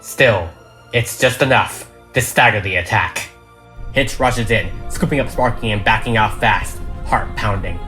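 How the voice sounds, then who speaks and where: Bright-voiced dramatic script narrator over music. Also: mid-range voice, a little slow. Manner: dramatic, reads stage directions.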